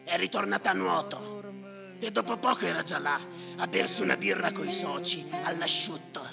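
A sardonic, bitter storyteller with a very creaky, high-set male voice. He declaims his monologue in insistent repetitions, theatrical and gravelly. Long reverb surrounds him, with music playing behind. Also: normal speed. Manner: declamatory, repetitive, swinging from muttering to shouting. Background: live music.

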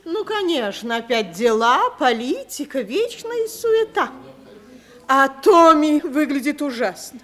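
Fussy high-voiced society lady, chatters and frets, voice bouncing up and down. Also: very animated, takes it slowly, fairly bright tone, exaggerated voice. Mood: fussing. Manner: fussy, scolding, prattling. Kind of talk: radio play acting.

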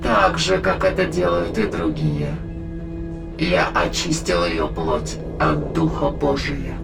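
A man playing a cold alien intruder that inhabits a dead body, speaking slowly and formally with a gravelly, creaky voice in an audio drama. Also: a little high, huge pitch swings, over loud music, full character voice. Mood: cold, evasive. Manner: slow, formal, measured speech.

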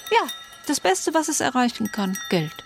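A woman narrates in a fairly high voice, wry and literary, in short pointed phrases. Music plays clearly behind her. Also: sing-song, moderate pace.

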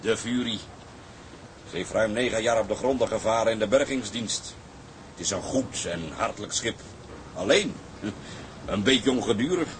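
An actor playing a hearty, plain-spoken tugboat skipper and owner in an audio drama. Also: male voice, lowish voice, normal speed, long pauses, slight background noise, natural voice, low-quality recording. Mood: proud, courteous. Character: hearty male tugboat skipper selling his beloved ship. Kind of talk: Dutch audio drama dialogue.

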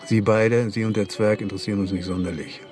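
An actor playing a cynical, quietly menacing intelligence agent in a radio crime drama, dry and insinuating. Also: male voice, fairly low pitch, fairly even intonation, quick pace, faint music behind, natural voice.

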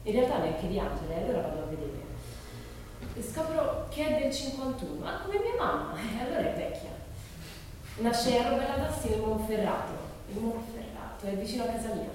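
A woman's voice: a little high, very animated, a little fast, a little mellow, recorded from a distance. A woman playing a curious, outspoken teenage schoolgirl in a dramatic monologue, colloquial and incredulous. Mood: musing. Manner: colloquial, incredulous, self-questioning. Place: large reverberant room, distant mic. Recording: echoey hall from afar, noisy.

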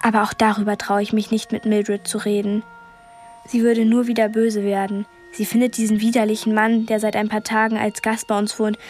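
A woman's voice: fairly high pitch, darkish tone, close to an everyday voice. An actress playing a brooding thirteen-year-old girl thinking aloud in a radio drama inner monologue.

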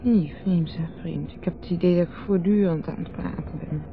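An actress with a Netherlands Dutch accent playing a dreamy, teasing wife who rambles and repeats herself in a radio drama. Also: female voice, on the low side, normal speed, a little hiss or hum, lightly acted. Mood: rambling, evasive. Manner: rambling, repetitive, musing. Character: dreamy, rambling, teasing wife, drinking under a full moon. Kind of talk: 1970s radio drama acting. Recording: dull old radio recording with music.

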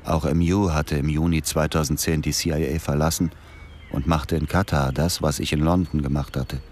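An actor playing a weary former intelligence agent, narrating matter-of-factly in the first person in a radio drama. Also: male voice, very deep voice, flat delivery, normal speed, a little mellow, a little hiss or hum.

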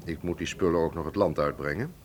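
An actor in a radio drama playing a shrewd, suave museum curator, measured and condescending. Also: male voice, very deep voice, a little hiss or hum. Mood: shrewd, bargaining. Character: shrewd, suave Russian male museum curator, quietly threatening.

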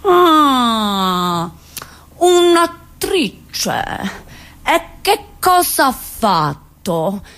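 A man's voice: extremely high pitch, sing-song, drawn-out words, sharp tone, heavily acted. A woman playing an unfriendly, scornful male police officer on stage, reeling off figures in an officious manner. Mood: scrutinizing, mocking.

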